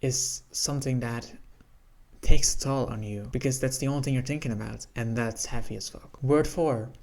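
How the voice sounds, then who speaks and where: Earnest woman with a lower voice. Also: moderate pace, gravelly, a little mellow. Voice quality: lower-pitched voice. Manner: earnest, reflective, candid. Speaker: vlogger. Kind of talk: educational personal vlog talk.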